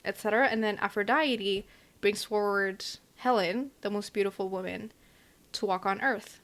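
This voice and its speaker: A woman's voice: medium pitch, moderate pace, a bit bright. A woman co-hosting a podcast, casually retelling an old story with lots of hedges and fillers. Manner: rambling, hedging retelling with fillers. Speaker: podcast co-host. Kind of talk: casual chat.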